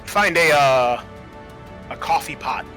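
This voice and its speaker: A man's voice: on the high side, expressive, slow, music in the background. A man acting as game master, narrating the scene and explaining the rules of a tabletop roleplaying game.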